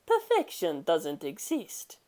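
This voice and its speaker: A woman voicing a serious, composed male gangster in a story, measured and calmly confident. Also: lowish voice, heavily acted. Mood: calm confidence.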